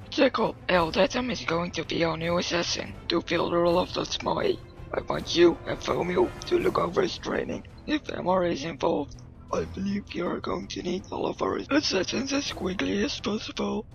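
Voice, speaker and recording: Male actor as imperious dark fantasy cartoon lord: high, gravelly creak, curt commands, cold temper. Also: animated, moderate pace, low-quality recording. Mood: commanding. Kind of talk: amateur animated fantasy series acting. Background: music.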